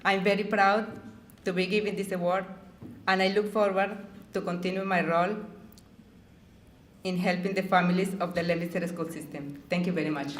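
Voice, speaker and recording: A woman's voice: fairly low pitch, steady tone, normal speed, pauses often, noisy background. Woman speaking in an echoey hall. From Colombia. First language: Spanish. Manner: gentle, with playful jokes. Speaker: award honoree giving acceptance speech. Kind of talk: prepared acceptance speech.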